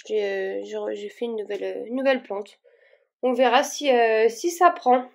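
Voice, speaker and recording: A woman's voice: a little high, normal speed, gravelly, a little mellow. A woman vlogging casually as she repots her plants, talking in a rambling way with plenty of fillers and restarts. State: a bit warm and tired from the work.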